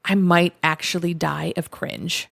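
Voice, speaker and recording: A woman reading first-person confession stories aloud in an expressive, conversational storytelling manner. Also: fairly low pitch, unhurried, creaky. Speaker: story reader, host of a show reading online confessions.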